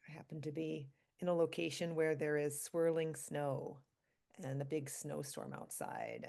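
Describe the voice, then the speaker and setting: A woman leading a guided meditation for a group, speaking calmly and slowly in short, spaced phrases. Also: low-pitched, vocal fry. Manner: calm, slow, pausing between short phrases. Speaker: meditation leader, physician.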